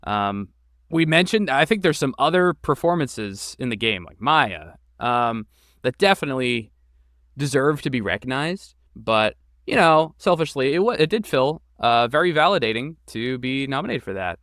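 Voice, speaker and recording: A man who creates and voices games, answering interview questions in a thoughtful, relaxed way with many fillers. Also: medium pitch, normal speed, no echo, studio quality. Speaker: game creator and voice actor. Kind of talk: podcast interview guest.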